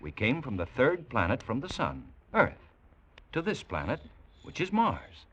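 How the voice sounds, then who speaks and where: An American actor playing an earnest, awestruck space explorer in a 1940s radio drama, speaking with fervent wonder. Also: male voice, medium pitch, huge pitch swings, unhurried, fairly bright tone, husky, full character voice. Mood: patient, urgent.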